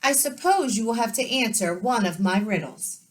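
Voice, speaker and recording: A woman's voice: on the high side, animated, moderate pace, pitched above the performer's own voice, lightly acted. A woman voicing a silly, fickle, blustery loony king who exclaims and ponders aloud in a children's storybook. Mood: musing, pleased.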